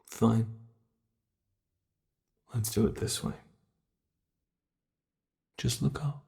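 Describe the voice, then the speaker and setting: A man playing a sadistic monster from under the bed, toying with human prey in a horror ASMR roleplay, slow, taunting and theatrical, with a creaky voice. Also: medium pitch, steady tone, dark tone, heavily acted. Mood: coaxing, condescending.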